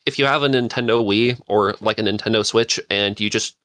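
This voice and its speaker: Male panelist, low register, dry recording, casual and enthusiastic podcast chat. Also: a little fast, fairly bright tone, dry recording.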